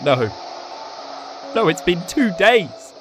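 A young actor playing a confused, panicky student ghost in a radio drama, halting, agitated and disbelieving. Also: medium pitch, big pitch swings, noisy background. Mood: panicked denial.

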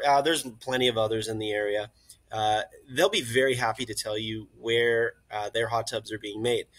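A man's voice: medium pitch, poor audio. A young man who runs a hot tub shop, talking casually at his computer through a screen-share tutorial with lots of "uh" fillers. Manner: relaxed and friendly, many "uh" fillers. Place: indoors, recording at a computer.

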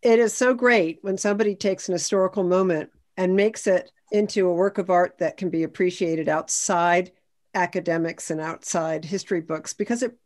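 A woman's voice: lowish voice, moderate pace, a little mellow. A woman historian speaking conversationally on a panel over a video call.

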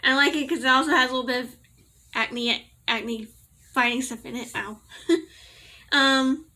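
A young woman talks to her laptop camera in a small bedroom, her voice high and bright with a slight allergy rasp. She rambles, giggles and corrects herself as she goes. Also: steady tone, moderate pace. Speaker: vlogger. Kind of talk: casual product review to camera.

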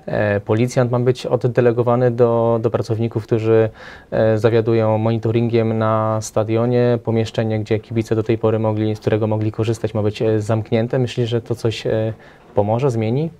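A man's voice: fairly low pitch, level tone, moderate pace, warm tone. A man in his thirties hosting a studio interview, putting longer questions and adding short acknowledgements between answers, on a table mic in a small TV studio. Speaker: interviewer, TV host.

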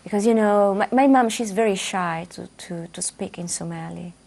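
Gravelly-voiced woman in a studio interview. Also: medium pitch, normal speed, a little mellow. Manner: thoughtful, repeats words while searching for phrasing. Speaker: musician guest. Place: dark television studio, seated.

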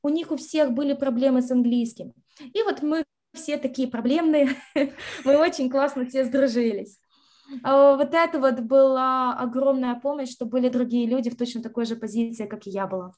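A young woman from Russia with a high, light voice talks on a video call from a bright room at home. She tells her story in a lively way, acts out what others said, and now and then drops in English words. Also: normal speed. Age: young adult. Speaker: interview guest, university graduate. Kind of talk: casual interview over video call.

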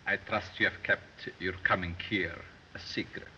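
Slow, deliberate old-film villain, bright tone. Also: male voice, low-pitched, steady tone, slight background noise. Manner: slow, deliberate, drawn-out delivery. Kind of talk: 1930s film acting. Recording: dull old film soundtrack.

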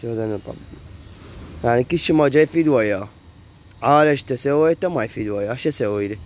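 A hoarse man with an Iraqi Arabic accent, chatting casually to viewers while filming his rooftop pigeon loft on a phone. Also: medium pitch, quick pace. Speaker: pigeon keeper vlogger. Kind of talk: casual vlog. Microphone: phone camera held while walking.

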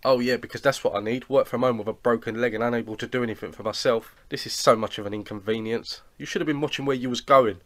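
A man voicing a grumpy, sarcastic young man with a broken leg in an animated cartoon, speaking in a complaining way. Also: mid-range voice, quick pace, few pauses, close to an everyday voice.

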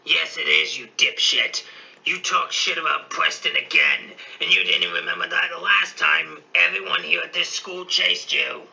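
A man's voice: on the high side, steady tone, normal speed, vocal fry, sharp tone, lo-fi sound, heavily acted. A man voicing an irritable, foul-mouthed teenage schoolboy in a cartoon parody, exasperated and blunt. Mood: angry threats. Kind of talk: fan-made cartoon parody voice acting.

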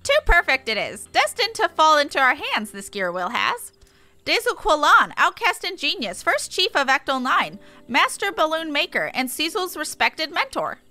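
A woman voicing an excitable birdlike game beastman who squawks and speaks emphatically in inverted word order. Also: high-pitched, moderate pace, soft background music, voice pushed up, exaggerated voice. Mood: proud, reverent.

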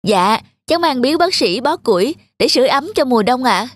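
A woman's voice: high voice, animated, fairly quick, dry recording. A woman voicing a dutiful, worried young village boy in a cartoon, speaking earnestly and politely. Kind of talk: cartoon dubbing.

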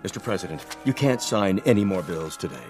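A man's voice: deep voice, expressive, a little fast, a little mellow, faint music behind. A man acting as a fawning, concerned presidential adviser in a radio drama. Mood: solicitous.

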